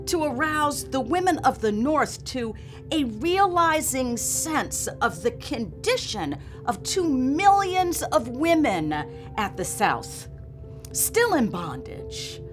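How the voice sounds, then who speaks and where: A woman's voice: high-pitched, a bit bright, voice pushed up, heavily acted. A woman reading as an earnest, dignified nineteenth-century memoirist who was once enslaved, in a formal, solemn and emphatic manner. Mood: impassioned, grave. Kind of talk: dramatic reading of a memoir preface. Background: music.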